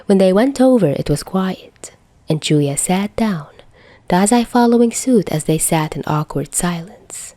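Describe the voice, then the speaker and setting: A woman's voice: fairly low pitch, lively intonation, a little slow, mellow, no room sound. A woman reading scripted fan fiction as a story narrator. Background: faint music.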